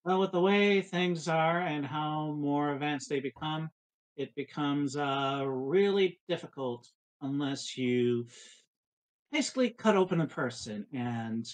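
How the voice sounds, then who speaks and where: A man playing a composed, politely attentive female ship doctor in a tabletop roleplay, speaking formally and explaining things in a measured way. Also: medium pitch, close to an everyday voice. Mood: matter-of-fact.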